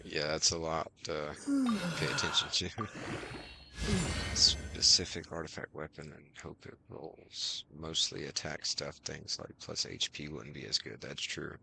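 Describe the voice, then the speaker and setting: A man's voice: extremely low pitch, unhurried, gravelly, noisy background. A man giving casual live game commentary as a streamer, musing aloud and answering his chat. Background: game music.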